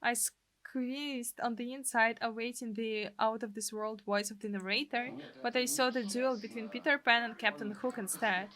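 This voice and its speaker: Bright, high-voiced woman narrating. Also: steady tone, moderate pace. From Russia. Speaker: gaming video host reading aloud. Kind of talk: expressive story narration.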